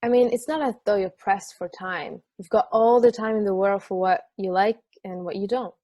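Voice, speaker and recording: A man's voice: high-pitched, fairly even intonation, normal speed, mellow, dry recording, close to an everyday voice. A man acting as an easygoing football fan who relishes weirdness, in a conversational script reading. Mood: teasing, argumentative. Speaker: amateur actor in a remote table read.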